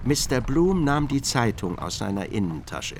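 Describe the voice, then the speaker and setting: A man narrating a literary radio drama as an observant, measured storyteller. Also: mid-range voice, big pitch swings, background noise.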